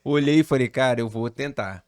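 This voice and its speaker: A man's voice: mid-range voice, a little fast, crowd noise. Man from Rio telling a story. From Rio de Janeiro, Brazil. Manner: animated storyteller, relaxed slang. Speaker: pastor, podcast guest. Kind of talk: casual storytelling chat.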